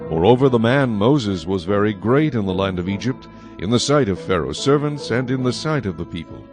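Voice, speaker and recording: A man narrating a dramatized audio Bible in a measured, solemn storytelling style. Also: lowish voice, expressive. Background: music.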